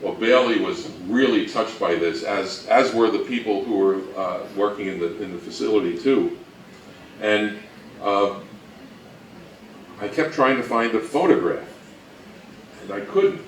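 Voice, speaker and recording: A man giving an informal history talk, halting and anecdotal, with dry humour. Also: on the low side, expressive. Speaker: co-presenter of a talk on old-time radio history. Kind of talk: informal lecture to a live audience. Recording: echoey room from far off, faint noise.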